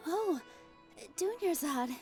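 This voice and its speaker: A woman's voice: very high voice, animated, takes it slowly, a little mellow, soft background music, exaggerated voice. An actress voicing a friendly, cheerful young woman performer in a video game. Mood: friendly, curious. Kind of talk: video game character dialogue.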